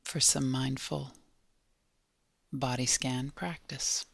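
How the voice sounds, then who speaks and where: A woman leading a guided body scan meditation in a gentle, measured manner, leaving long pauses between short phrases. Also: extremely low pitch, steady tone, creaky, breathy edge, no echo.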